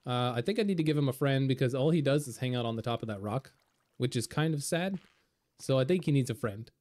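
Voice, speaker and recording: Hoarse, raspy man with a mellow voice. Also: medium pitch, fast. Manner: relaxed, rambling, many fillers. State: hoarse from being sick. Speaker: game video creator. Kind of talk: casual gameplay commentary.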